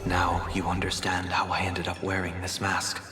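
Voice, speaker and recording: Deep, reserved masked traveler. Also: male voice, completely flat delivery, moderate pace, pushed and bright. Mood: reserved, puzzled. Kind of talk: video game voice acting. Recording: long reverb, clear music behind.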